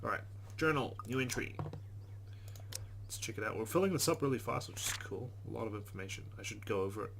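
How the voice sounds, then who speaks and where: Fast-talking man, slightly low voice. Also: fairly bright tone, a little hiss or hum. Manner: informal, chatty asides between reading. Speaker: let's play host. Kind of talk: gameplay commentary and reading visual novel text aloud.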